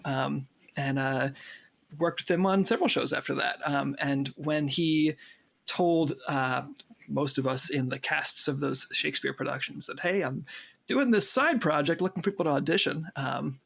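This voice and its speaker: A man speaking as a cast member in a casual interview, telling stories in a jokey, animated way. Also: a little high, creaky, slightly rough.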